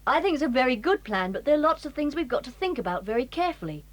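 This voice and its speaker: A woman's voice: high-pitched, very animated, fast talker, no room sound. An actress playing a sensible, organised eldest boy who takes charge of the group. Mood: planning.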